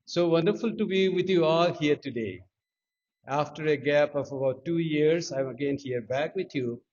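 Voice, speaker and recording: A monk with a Nepali accent, giving a devotional talk in English with slow, emphatic stresses on key words. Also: male voice, a little high, darkish tone. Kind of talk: devotional talk with sung Sanskrit chant. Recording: dull lo-fi sound.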